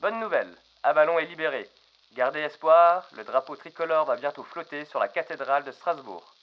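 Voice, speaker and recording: A man playing a wartime radio announcer with deliberate, declamatory delivery through an old radio filter. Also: on the high side, lively intonation. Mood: solemn, hopeful. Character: male wartime radio announcer reading coded messages. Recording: dull old-radio sound, faint music.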